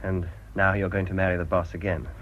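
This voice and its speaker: An actor playing a bitter English village priest in a radio drama, with a dry, grumbling way of talking. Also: male voice, deep voice, level tone, a little fast, close to an everyday voice. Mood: discontented. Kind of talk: British radio drama acting. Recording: dull, noisy old radio drama recording.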